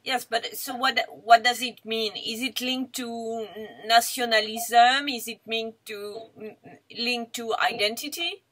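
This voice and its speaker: Slow, probing woman interviewer. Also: a little high, steady tone, a bit bright, rough recording. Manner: probing, questioning. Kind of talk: interview questions. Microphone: video call.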